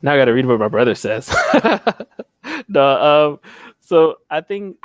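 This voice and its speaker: A man's voice: medium pitch, very animated, unhurried, dry recording. A young man from Philadelphia, a panel guest in casual chat on a video call from home, rambling and losing his train of thought. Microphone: headset.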